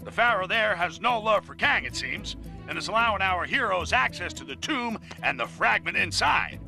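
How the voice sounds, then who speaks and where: A gravelly blustering news editor. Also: male voice, high-pitched, big pitch swings, moderate pace, sharp tone, faint music behind, full character voice. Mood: bombastic. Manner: bombastic, self-important. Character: blustering loudmouth male newspaper editor. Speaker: news anchor. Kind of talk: video game cutscene voice acting.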